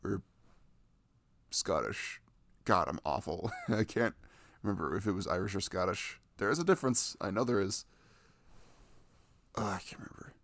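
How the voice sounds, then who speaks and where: Man playing a low, creaky, cuddly young boyfriend. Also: animated, normal speed, pauses often, dry recording. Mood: chatty, amused. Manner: soft, rambling, frequent chuckles and hums. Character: sweet, playful, affectionate boyfriend cuddling his partner. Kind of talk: intimate ASMR boyfriend roleplay, casual and unhurried. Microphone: close microphone.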